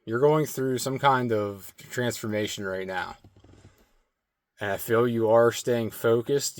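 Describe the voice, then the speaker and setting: Man, slightly hoarse strained throat, slow meditative card reading, lots of "like" fillers, dry close sound. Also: mid-range voice, darkish tone, dry recording. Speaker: spiritual card reader. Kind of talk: intuitive oracle card reading.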